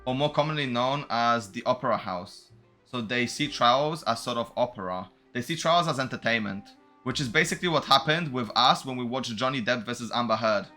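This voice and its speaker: A man streams a game with a bright, punchy voice of middling pitch. He talks casually and in slang, reading out dialogue and reacting with animation. Faint music plays behind him. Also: fairly quick. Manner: slangy, animated reactions, stumbles over foreign names. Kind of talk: casual gameplay commentary, reading dialogue aloud.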